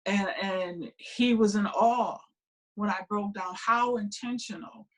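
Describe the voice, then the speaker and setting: A woman speaks on a panel discussion with an emphatic, animated delivery. She tells her story with energy, leaning on each point. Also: mid-range voice, slow. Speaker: film crew veteran panelist.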